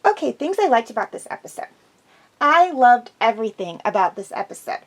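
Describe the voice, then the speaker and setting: A woman's voice: a little high, very animated, a little fast. A woman host chatting casually and enthusiastically through an episode review, slightly congested from a cold.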